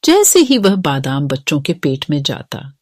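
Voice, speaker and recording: A woman's voice: low voice, very animated, moderate pace, noisy background. A woman narrating a scripted children's story.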